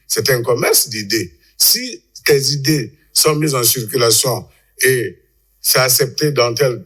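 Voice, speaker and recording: A man giving unscripted political analysis as a panel guest, haltingly, repeating words as he argues. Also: mid-range voice, fairly bright tone.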